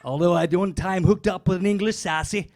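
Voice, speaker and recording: Rowdy man shouting a put-on Scottish rally speech. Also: high voice, a little fast, faint music behind. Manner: boisterous, shouting rally-style speech to a crowd. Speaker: comedy performer. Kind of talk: rowdy medieval-character stand-up.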